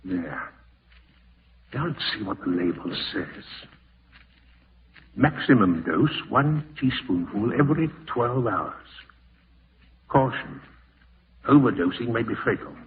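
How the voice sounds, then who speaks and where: A man's voice: on the low side, very animated, slow, long pauses. An actor playing a callous, scheming man in a 1940s radio horror drama, muttering to himself and snappish, with melodramatic delivery. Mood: sly, muttering. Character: scheming, callous male relative plotting murder, panicking and irritable. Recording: scratchy, tinny old-time radio broadcast.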